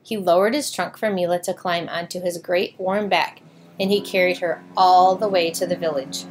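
Female storybook narrator, lower-pitched, expressive scripted read-aloud for children. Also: moderate pace, a little hiss or hum.

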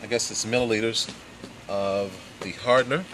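A man in his forties giving a casual step-by-step how-to demonstration in a backyard, with relaxed fillers. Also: fairly low pitch, moderate pace, a little hiss or hum, rough recording. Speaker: hobby boatbuilder. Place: backyard outdoors. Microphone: camera mic, handheld camera nearby.

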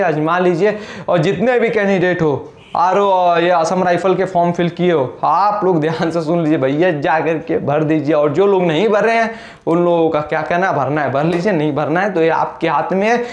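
A man's voice: high voice, reverberant. A young man talking straight to camera, giving urgent, repeated advice about filling out a recruitment form, gesturing a lot as he speaks. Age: young adult. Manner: urgent, exhorting, repeats instructions, heavy hand gestures. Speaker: exam coaching YouTuber. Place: small indoor room. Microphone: camera in front, mid-close framing.

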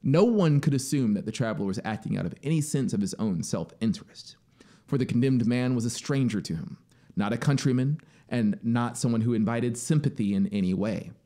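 A male narrator in his thirties reads a literary story aloud. His mid-pitched voice moves expressively up and down, recorded close on a studio mic. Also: animated, fairly quick, mellow. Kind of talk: dramatic audiobook-style story narration. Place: small home music studio indoors. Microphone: close studio mic on boom arm.

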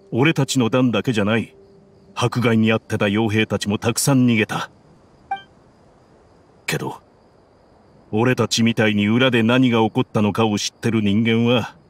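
An actor voicing a somber, steady male mercenary comrade in a game dub, plain-spoken. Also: on the low side, natural voice.

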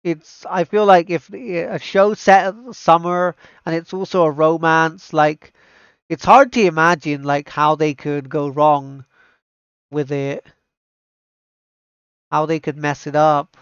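A man with a smooth, fairly high voice, talking casually as a streamer. The sound is dry and close, slightly dull. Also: steady tone, a little slow, no room sound. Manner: enthusiastic, repeats himself. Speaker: streamer reacting to anime trailers. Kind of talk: casual livestream commentary.

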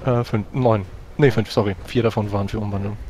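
A man's voice: lowish voice, fairly even intonation, a little fast, a little mellow. A man chatting casually as a player in a tabletop role-playing game. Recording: music faintly behind the voice. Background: background music.

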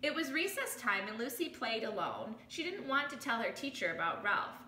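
A woman in her thirties, a teacher reading a children's picture book aloud, expressive and pausing to explain things to children. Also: fairly high pitch. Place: small living room, indoors. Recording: roomy home recording with background noise.

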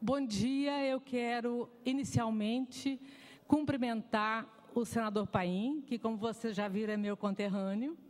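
A woman's voice: a little high, steady tone, unhurried, darkish tone. A woman in her sixties from southern Brazil, speaking at a podium microphone as a panelist in a formal public hearing, emphatic and gesturing. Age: 60s. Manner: emphatic, gesturing with both hands. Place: large hearing room.

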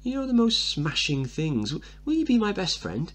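A man playing a bright, innocent seven-year-old boy who speaks in a polite, proper, wide-eyed way in a play reading. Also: sits high, wildly swooping pitch, moderate pace, pitched above the performer's own voice, exaggerated voice. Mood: awed, curious.

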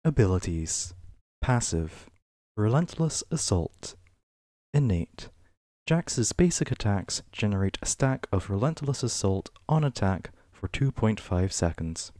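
A man with a fairly low voice reads written entries as ASMR, very slowly and with deliberate pauses. His pitch rises and falls noticeably from item to item, and the recording is close and dry. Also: very animated, dark tone, dry recording. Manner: measured, list-like reading with pauses. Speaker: ASMR reader. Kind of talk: ASMR reading of a game wiki page.

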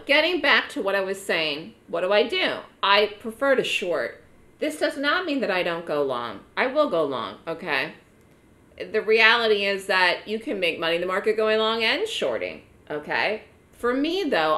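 A hoarse woman giving a live online trading lecture to her trading room, chatty and repeating words for emphasis. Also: fairly low pitch, bright, forceful tone, roomy sound. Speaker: trading educator running a trading room.

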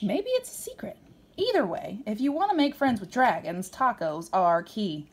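A woman reading a picture book aloud to a young child in a playful, expressive way with dramatic emphasis. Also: medium pitch, moderate pace. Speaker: storybook reader.

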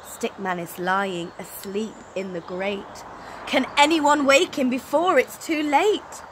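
A woman in her thirties reading a children's story aloud in an animated, dramatic way, recorded outdoors. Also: a little high, slow pace. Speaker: storytelling teacher. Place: outdoors on an open wooden deck. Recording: outdoor recording, clear background noise.

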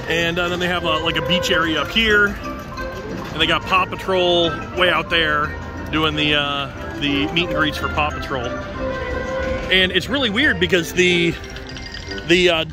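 A man in his forties hosting a casual vlog tour of a ballpark, chatty and enthusiastic with lots of fillers, filmed on a phone at arm's length in the outdoor stands. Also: fairly high pitch. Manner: chatty, enthusiastic, frequent "uh" fillers, bursts of cheering. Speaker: vlog host. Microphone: handheld phone at arm's length. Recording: loud crowd and stadium music. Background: loud crowd and stadium music.

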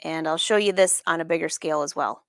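A woman's voice: low-pitched, fast, creaky, no room sound, clean studio sound. A middle-aged woman hosting a casual live craft demonstration, chatting informally and putting questions to her viewers. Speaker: host of a live sewing lesson. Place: sewing studio room, indoors.

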